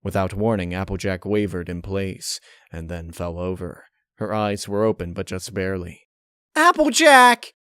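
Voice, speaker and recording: A man reading a dark fan fiction story as narrator, in a measured, dramatic way. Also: sits low, level tone, smooth, no room sound, clean studio sound. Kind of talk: dark audiobook-style narration.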